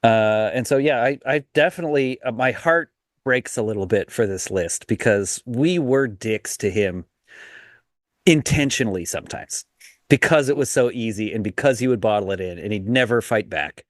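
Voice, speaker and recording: A young man in a middle-pitched voice chats casually as a podcast co-host, rambling and restarting as he thinks. The sound is dry and close, with no room echo. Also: animated, moderate pace. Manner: rambling, many restarts and laughs. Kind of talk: casual chat.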